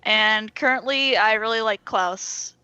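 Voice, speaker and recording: Bright-voiced woman guest, dry close sound. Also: on the high side, a little slow, clear, resonant tone, no room sound, muffled recording. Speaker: podcast guest. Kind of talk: casual chat.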